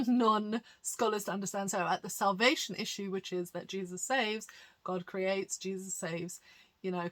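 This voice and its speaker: A woman pastor speaks to camera in a relaxed, teaching manner, explaining things patiently and filling pauses with "um"s. Her voice has a bright, forward tone at a middle pitch. She laughs lightly now and then as she talks. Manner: chatty and explanatory, many fillers, light laughs. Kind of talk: conversational teaching monologue.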